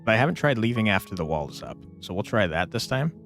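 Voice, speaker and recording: Man, casual game streamer, varied lively pitch, informal chatty commentary, music in background. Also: quick pace, vocal fry. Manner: informal, reads out chat and answers it. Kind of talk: casual live gameplay commentary, chatting with viewers.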